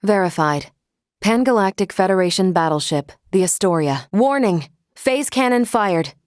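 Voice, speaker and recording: A woman's voice: mid-range voice, animated, no room sound, studio quality, close to an everyday voice. Woman acting a polite, precise female android. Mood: urgent, alert. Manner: formal, precise, measured phrasing. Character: polite, earnest female android crew member. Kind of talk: video game voice acting.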